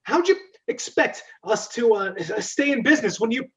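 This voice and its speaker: A man's voice: extremely high pitch, sing-song, moderate pace, a bit bright, voice pushed up, exaggerated voice. A man reading the part of an indignant plumber ranting at his brother in a script read-aloud. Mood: exasperated, scolding. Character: exasperated adult male plumber, scolding his brother. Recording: dull, lo-fi sound.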